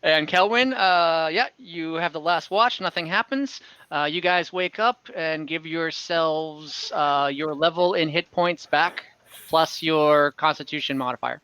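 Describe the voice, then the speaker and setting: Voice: mid-range voice, a little slow, pushed and bright, smooth, no echo. A game master narrating an online tabletop roleplaying game in an improvised, conversational way. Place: online voice call. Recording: dull lo-fi online call audio.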